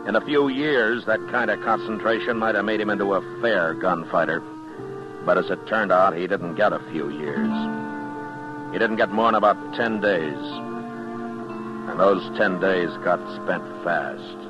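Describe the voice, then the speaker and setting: A low-voiced American frontier marshal, gruff and weary, terse in his authority. It is old western radio drama, muffled, with music behind it. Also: measured pace. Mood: grave, measured. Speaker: lead actor and first-person narrator.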